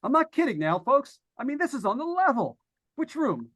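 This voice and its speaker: A man's voice: extremely high pitch, sing-song, a bit bright, exaggerated voice. A man playing a nervous, lonely radio broadcaster unravelling in a haunted house, stammering and rambling in 1940s radio suspense style. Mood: frightened. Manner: stammering, halting, rambling with repeated words. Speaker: actor in old-time radio play. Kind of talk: 1940s radio suspense acting, live monologue.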